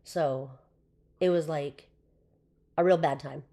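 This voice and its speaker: Guest: young woman, lowish hoarse voice, loose chatty delivery. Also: takes it slowly, dark tone. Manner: chatty, with frequent fillers and laughs. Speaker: podcast guest. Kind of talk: casual interview chat.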